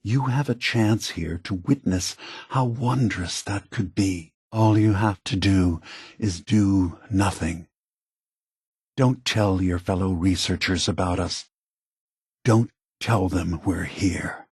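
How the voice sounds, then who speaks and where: An actor playing a gentle, weary old male android leader in a video game, husky and soft-spoken, with a measured, contemplative, earnest manner. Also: deep voice, dry recording, clean studio sound, full character voice. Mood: earnest, concerned. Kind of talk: video game character dialogue.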